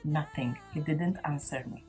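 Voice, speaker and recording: Woman, gospel singer as guest, lowish voice, earnest and reflective, soft music underneath. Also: moderate pace. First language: Maltese. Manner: earnest, reflective testimony with restarts. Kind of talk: casual interview chat.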